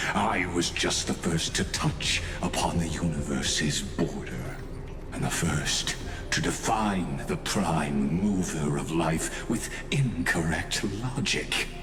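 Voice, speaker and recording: An actor playing an ancient, condescending genius villain in a video game, grave and theatrical, delivering coldly philosophical monologues with deliberate pomp. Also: male voice, low-pitched. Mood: grave, measured. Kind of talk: dramatic scripted video game voice acting. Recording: echoing voice over background music.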